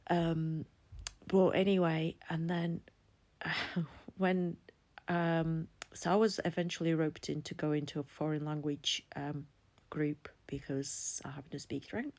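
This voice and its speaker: Woman, forties, deep low voice, chatty candid vlog talk. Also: fairly even intonation, takes it slowly, a little mellow. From Latvia. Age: 40s. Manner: chatty, candid, laughs between thoughts. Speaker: vlogger. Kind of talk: casual personal commentary.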